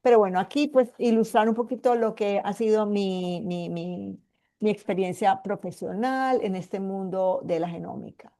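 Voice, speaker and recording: Warm-voiced Colombian Paisa woman explaining patiently. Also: mid-range voice. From Colombia (Medellín). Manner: patient and explanatory, pausing to find words. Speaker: geneticist and guest speaker. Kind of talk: online presentation to a support group.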